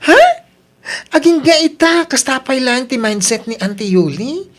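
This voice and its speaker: High-voiced Ilocano Filipino niece woman acting. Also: expressive, moderate pace. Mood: wondering. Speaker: radio drama actor. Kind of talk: radio drama acting in Ilocano.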